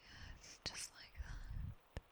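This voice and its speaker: Whispering British demoness tutor, acted by a woman, very slow. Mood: hushed, commanding. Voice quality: breathy, whispered. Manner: slow, deliberate, condescending yet coaxing. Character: sharp-tongued nightmare demoness tutor, strict, teasing, seductive. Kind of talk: intimate whispered ASMR roleplay. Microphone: close binaural microphone.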